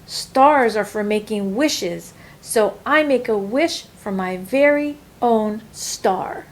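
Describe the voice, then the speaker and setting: Female picture-book reader, mid-range voice, expressive and deliberate delivery, slightly noisy recording. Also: medium pitch. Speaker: storyteller reading a picture book aloud. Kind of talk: expressive children's story reading.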